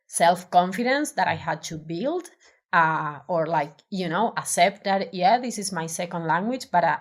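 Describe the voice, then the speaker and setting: Spanish-accented woman, low-pitched, hesitant. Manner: hesitant, many restarts and fillers, thoughtful. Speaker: design professional, podcast guest. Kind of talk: casual interview conversation.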